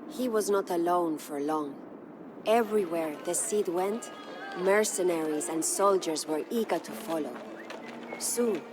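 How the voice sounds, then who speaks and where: An actress playing a devoted, sorrowful medieval Spanish noble wife who narrates her husband's legend in a solemn, measured way for a game. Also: lowish voice, lightly acted. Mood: reverent, measured. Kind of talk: scripted dramatic storytelling narration. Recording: studio narration over prominent music.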